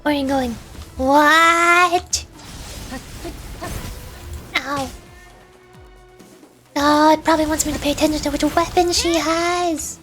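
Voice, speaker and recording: A woman's voice: high-pitched, fairly even intonation, slow pace, pauses often, pushed and bright, faint music behind. A woman giving casual, reactive live gameplay commentary as a VTuber, exclaiming in short bursts. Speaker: VTuber game streamer.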